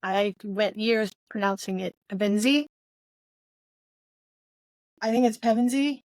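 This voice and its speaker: A woman in her forties co-hosting a casual book discussion, thinking aloud with trailing pauses. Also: medium pitch, a little mellow, no room sound. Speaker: podcast co-host.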